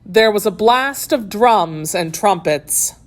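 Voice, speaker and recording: A woman narrating a children's story from a script, telling it in an expressive, deliberate way. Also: mid-range voice.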